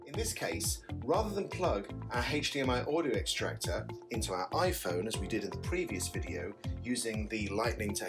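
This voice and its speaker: A man giving an explanatory product demonstration, walking through a setup step by step. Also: lowish voice. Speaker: tutorial presenter, stage magician.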